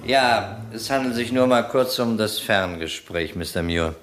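Male investigator, radio crime drama: low voice, calm, courteous, quietly probing. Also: measured pace, soft background music. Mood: quietly insistent. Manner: polite, probing, soothing.

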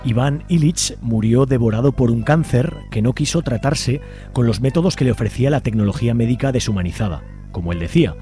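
A man's voice: medium pitch, animated, brisk, warm tone, soft background music. A man with a Spanish accent from Spain co-hosting a radio show in a scripted presenting style. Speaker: radio co-host.

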